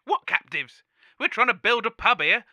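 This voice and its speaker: A man's voice: very high voice, expressive, normal speed, bright, forceful tone, no room sound, full character voice. An actor, a man, playing a blunt building site worker in a radio comedy.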